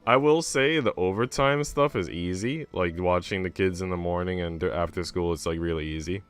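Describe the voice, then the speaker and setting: A man's voice: sits low, normal speed, clear, resonant tone. A man streaming, chatting in a slangy, rambling way between bits of a visual novel he is reading. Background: game music.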